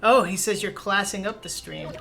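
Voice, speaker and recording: A woman's voice: mid-range voice, steady tone, normal speed, pushed and bright. A woman with a Minnesotan accent, chatting casually and playfully while streaming a game. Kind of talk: casual gameplay chat. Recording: game music behind.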